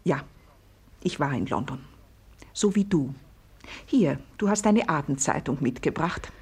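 Husky, low-voiced anxious young wife. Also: female voice, lively intonation, a little slow, a little mellow, full character voice. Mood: defensive. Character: young married hostess, motherly, anxious, guarded. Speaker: radio drama actress. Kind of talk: 1950s German-language radio play acting. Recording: 1950s radio drama recording.

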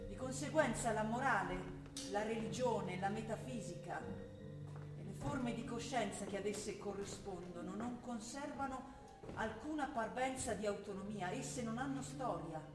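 A woman's voice: fairly high pitch, measured pace, lightly acted. Young trentino woman, an actress in a play, earnest patient student daughter, echoey room, music behind. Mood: earnest, declaiming. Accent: Trentino, northern Italian. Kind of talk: stage drama acting. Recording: roomy theatre sound, music behind.

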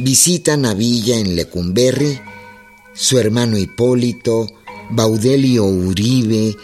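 Un hombre con acento mexicano que narra con guion una radionovela histórica. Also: male voice, medium pitch, slow, a little mellow. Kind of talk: scripted documentary-drama narration. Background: music.

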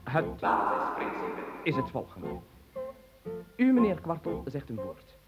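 An eccentric, bossy industrialist in a comic radio play. His voice sits a little high and leaps around theatrically. He is fussy, long-winded and grandly insistent. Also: huge pitch swings, unhurried, over music. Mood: coaxing, insistent.

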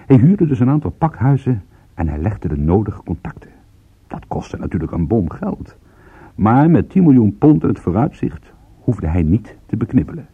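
A wry private detective in a radio play, with a very husky voice that moves freely up and down. He tells his case in a measured, dryly amused way. The recording sounds narrow and phone-like, like old radio. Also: on the low side, warm tone, no room sound, heavily acted. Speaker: narrator and lead actor. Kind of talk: radio drama narration.

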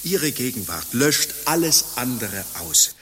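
An actor playing a timid, tormented runaway monk in his forties in a radio play, halting, overwrought and apologetic. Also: mid-range voice, very animated, fairly bright tone. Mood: agitated. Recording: hissy, humming recording with long reverb.

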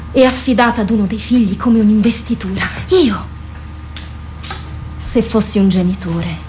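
A woman's voice: mid-range voice, a little mellow, slight background noise, lightly acted. An actress playing an impassioned, poetic woman in a theatrical radio drama, speaking with emphatic delivery. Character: impassioned woman confronting and pleading with a warrior.

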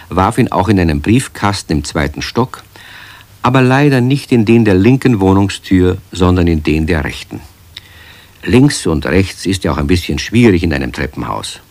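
An actor as the narrator of a children's radio play, telling the story in a calm, gently amused way. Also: male voice, sits low, no echo.

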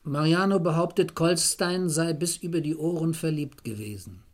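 An actor playing an eager police assistant in a radio crime drama, reporting in a dry, matter-of-fact, deferential way. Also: male voice, a little high, moderate pace, natural voice. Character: eager, dryly witty male police assistant detective.

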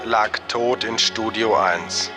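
Low-voiced man, grave storyteller, slow scripted narration, radio drama, clear music behind. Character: grave, slow male storyteller reporting a death.

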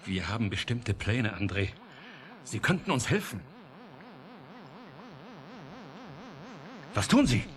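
An actor playing an authoritative, probing scientist in a German radio drama, giving orders and asking questions. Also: male voice, lowish voice, sing-song, moderate pace, a crowd in the background. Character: authoritative male scientist in charge, businesslike, probing, calculating.

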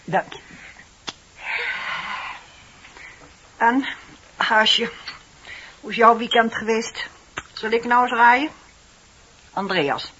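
An actress playing a kindly, motherly office worker of forty-five in a radio play, with a husky voice and a friendly, modest manner. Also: fairly high pitch, slow pace, pushed and bright, a little hiss or hum. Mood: curious. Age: 40s. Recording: dull, muffled old radio recording.

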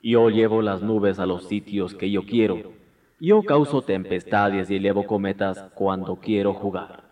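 An actor playing a mighty, boastful wind god from a legend in a radio drama, speaking in a self-important, declamatory way. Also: male voice, fairly low pitch, unhurried, a little mellow, natural voice.

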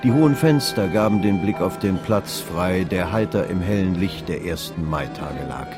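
Low-pitched man narrating a literary drama. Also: expressive, normal speed, music in the background. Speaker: narrator of a radio play.

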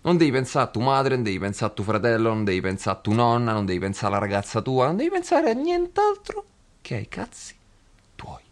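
Guy playing a roman slacker guru, calm then shouty, swings all over. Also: medium pitch, a little fast. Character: laid-back young man preaching a selfish guru philosophy. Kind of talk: scripted comedy sketch.

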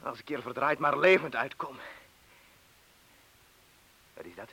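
A man's voice: medium pitch, lively intonation, a little slow, gravelly, sharp tone, slightly rough, heavily acted. A male actor playing a trapped, frightened and impatient petty criminal in a radio drama, agitated and needling, moving between mutters and outbursts. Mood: suspicious, nervous. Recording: hissy old radio play recording.

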